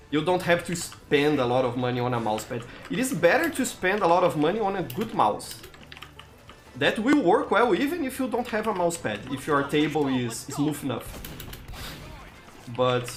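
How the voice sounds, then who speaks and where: A man with a Brazilian accent, a native Portuguese speaker, chatting casually on a gaming live stream in a halting way, stammering and repeating words. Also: a little high, fairly bright tone. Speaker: live-streamer hosting gameplay.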